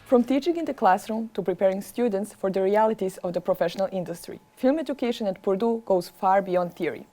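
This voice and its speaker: A woman hosting, reading a measured, scripted introduction to her guest. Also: mid-range voice, mellow, faint music behind. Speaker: show host introducing a guest.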